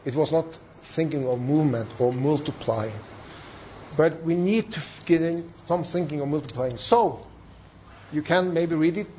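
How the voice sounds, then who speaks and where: Man, Norwegian accent, high pitch, halting second-language English, emphatic repetition, teaching style, muffled lo-fi sound. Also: darkish tone. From Norway. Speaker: church planting trainer. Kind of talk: seminar talk. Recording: dull, lo-fi sound.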